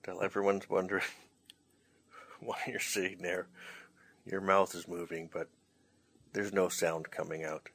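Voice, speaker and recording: A man's voice: low-pitched, flat delivery, a little slow, gravelly, long pauses, a bit bright. A man co-hosting a casual chat as a writer and director, speaking in a hesitant, self-deprecating way. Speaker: co-host, writer and director of an audio play. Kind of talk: casual hosted chat introducing out-takes.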